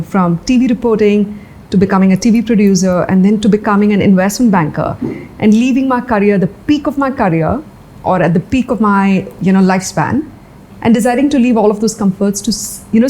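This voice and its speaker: A woman's voice: mid-range voice, animated, a little fast, a little mellow, poor audio. A woman guest in an interview, telling her life story in an earnest, reflective way, often saying "you know". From India. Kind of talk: candid personal interview.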